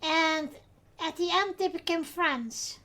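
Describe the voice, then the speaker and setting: A woman's voice: very high-pitched, slow, pushed and bright. A woman with a Dutch accent, a native Dutch speaker, hosting a casual podcast and talking hesitantly with many filler sounds. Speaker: podcast host.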